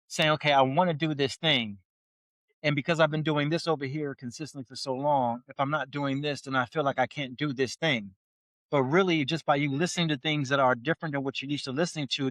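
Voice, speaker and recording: A man's voice: fairly high pitch, fairly bright tone, dry recording. A man in his forties co-hosting a casual motivational chat, emphatic and repetitive with stammered restarts. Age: 40s. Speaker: podcast co-host.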